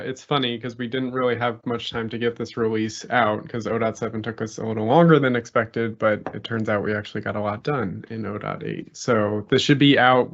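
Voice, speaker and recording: A man giving a casual technical walkthrough of a software release on a video call, talking in a relaxed, conversational way. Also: medium pitch. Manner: relaxed, conversational, frequent fillers. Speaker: software engineer presenting a release. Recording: muffled video call audio.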